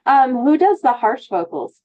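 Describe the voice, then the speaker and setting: A woman's voice: high voice, big pitch swings, a little slow. A middle-aged woman interviewing a musician, chatty and enthusiastic in a casual conversation. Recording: dull lo-fi remote audio.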